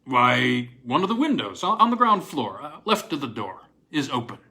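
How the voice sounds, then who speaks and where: A man playing a measured, obliging male college fellow in a storybook reading, speaking precisely with small hesitations. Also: medium pitch, big pitch swings, bright, forceful tone. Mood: matter-of-fact. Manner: hesitant, precise, matter-of-fact.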